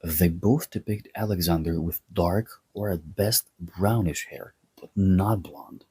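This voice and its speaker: A man from Greece talks through a history commentary in a sardonic, opinionated way. His voice sits low and dark, with a husky, breathy edge. He leans on wry, mocking asides. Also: a little slow. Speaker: history video host.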